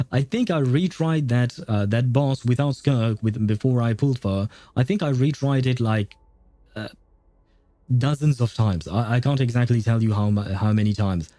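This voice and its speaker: A man's voice: mid-range voice, moderate pace, mellow, dry recording. A man chatting casually on a livestream in a rambling, easygoing, chuckling way. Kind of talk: casual livestream chat about games and life.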